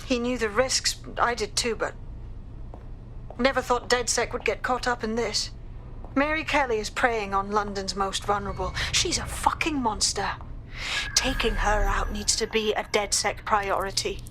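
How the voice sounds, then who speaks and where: A woman's voice: medium pitch, moderate pace, fairly bright tone, a little hiss or hum, lightly acted, poor audio. A woman playing a grieving, angry, determined resistance fighter in a video game. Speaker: resistance operative character.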